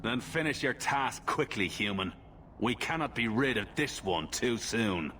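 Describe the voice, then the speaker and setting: A man's voice: mid-range voice, expressive, measured pace, noisy background, close to an everyday voice. A man voicing a stern elf clansman in a video game, curt and openly contemptuous. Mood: cold, scornful.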